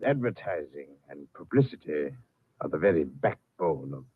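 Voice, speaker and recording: An unflappable Martian with a low, husky voice. He is courteous, formal and deliberate, with theatrical rises and falls. It is a tinny old radio drama recording. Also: very animated, full character voice. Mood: formal, assured.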